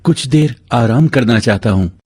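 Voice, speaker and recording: A man voicing a kind, mysterious stranger who is earnest and reassuring, in an animated moral story. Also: mid-range voice, moderate pace, slightly rough, no room sound. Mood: weary, grateful. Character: kind, mysterious male stranger turned devoted adoptive brother.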